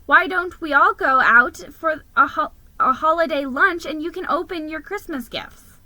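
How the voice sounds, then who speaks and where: A glamorous female rat singer from a storybook, as a woman reader puts her on. She is sociable and gracious, with a high, bright, singsong lilt. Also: normal speed, no room sound. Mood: gracious, cheerful.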